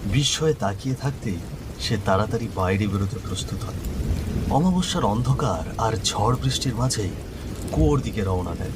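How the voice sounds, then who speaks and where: A man's voice: lowish voice, lively intonation, a little fast, heavy background noise. A man narrating a scripted horror story. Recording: loud rain and thunder behind voice. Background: rainstorm, thunder.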